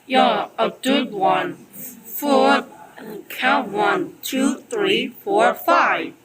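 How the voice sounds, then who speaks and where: A woman voicing a fan-made cartoon in an excitable, shouting manner. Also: fairly high pitch, extremely slow, crowd noise, rough recording. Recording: loud music under voice.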